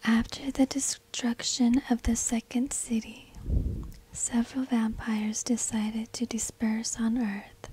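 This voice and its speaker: Slow, breathy whispering woman storyteller. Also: on the high side, flat delivery, mellow, rough recording. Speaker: ASMR narrator. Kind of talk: whispered ASMR scripted narration of vampire lore. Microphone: close binaural microphone.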